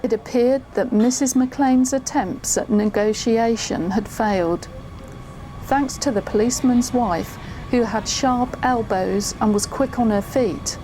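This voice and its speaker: An actress playing a woman who narrates events she witnessed, in measured, suspenseful audio drama style. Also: on the high side, warm tone, soft background music, lightly acted, rough recording. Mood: suspenseful narration. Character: female storyteller recalling a tense witnessed drama. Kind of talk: scripted first-person drama narration.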